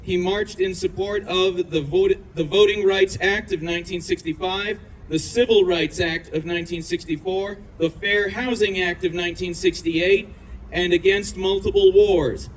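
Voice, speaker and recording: A man's voice: high-pitched, steady tone, moderate pace, poor audio. A man acting as master of ceremonies, reading a prepared introduction aloud at an outdoor event. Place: outdoors at a downtown festival. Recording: outdoor PA with faint traffic noise.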